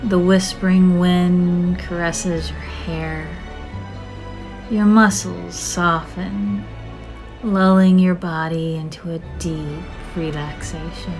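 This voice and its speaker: Slow, low-pitched woman guiding meditation. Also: flat delivery. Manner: soothing, measured, gentle with drawn-out phrases. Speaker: meditation guide. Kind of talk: scripted guided meditation narration. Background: soft music.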